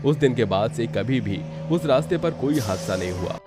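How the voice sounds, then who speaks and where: A man's voice: medium pitch, expressive, normal speed. A man narrating a scripted story in a dramatic storytelling style. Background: background music.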